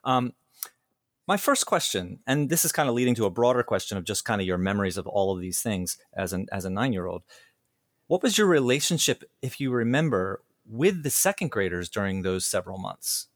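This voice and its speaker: A man's voice: on the low side, big pitch swings, brisk, no echo. A man conducting an interview, listening attentively and thinking aloud as he frames his questions. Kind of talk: conversational interview.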